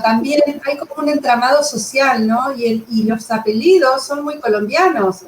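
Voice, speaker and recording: A woman conducting a conversational literary interview, phrasing her thoughts in a reflective, tentative way. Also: fairly high pitch. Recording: roomy echo with clear background noise.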